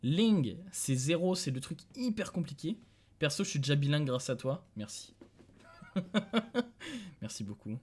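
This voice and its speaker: A man's voice: a little high, big pitch swings, unhurried, long pauses, slightly rough. A young man from France streaming from a dim room at home, thinking aloud in casual commentary, chuckling and talking to his chat.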